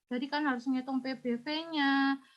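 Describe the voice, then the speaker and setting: A woman with a high voice works through exam answers for her students, patient and methodical. A cold leaves her sounding congested and slightly unclear. The recording is dull and lo-fi. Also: fairly even intonation, a little slow. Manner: patient, step-by-step explaining, addresses students directly. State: has a cold. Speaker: teacher.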